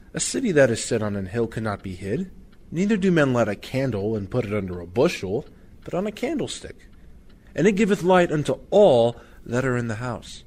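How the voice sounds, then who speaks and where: A man's voice: mid-range voice, darkish tone, close to an everyday voice. Man acting as patient father, noisy background. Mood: solemn reading. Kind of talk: audio drama acting.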